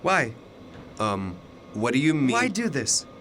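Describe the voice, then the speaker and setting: A man's voice: medium pitch, very animated, a little slow, a bit bright, slight background noise. A young man acting in a radio drama as a defiant, skeptical student, agitated and incredulous. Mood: incredulous, anxious. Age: young adult.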